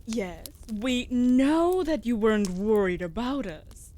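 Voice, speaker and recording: A woman's voice: on the high side, animated, slow, mellow, a little hiss or hum. A woman playing a mage's devoted wolf familiar in a fantasy audio drama, formal, firm and reassuring. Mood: firm reassurance. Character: female wolf familiar, protective, devoted and earnest. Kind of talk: scripted fantasy audio roleplay.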